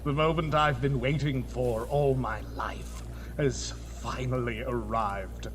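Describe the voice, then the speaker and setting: A man's voice: medium pitch, steady tone, slow, close to an everyday voice. A man playing a pompous, irritable young mad scientist in a comedic horror audio drama, theatrical and easily exasperated. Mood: grandiose. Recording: noisy, echoing hall reverb, clicks.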